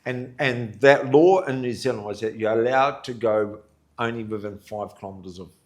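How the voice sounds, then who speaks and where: Loud energetic kenyan-raised guy in his 50s telling stories in an interview. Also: medium pitch, normal speed. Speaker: charity co-founder, guest. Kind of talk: casual interview storytelling.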